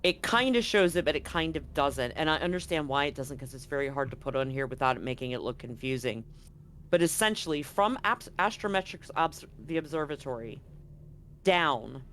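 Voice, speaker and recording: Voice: medium pitch, fairly bright tone, a little hiss or hum. A game master explaining a tabletop roleplaying game in a conversational, explanatory way.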